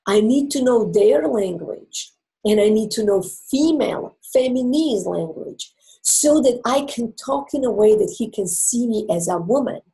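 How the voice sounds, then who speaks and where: A woman's voice: on the high side, expressive, vocal fry, warm tone, rough recording. A woman with a Croatian accent, a native Croatian speaker, giving relationship advice as an interview guest in an earnest, explaining way. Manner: earnest and explanatory, with emphatic pauses.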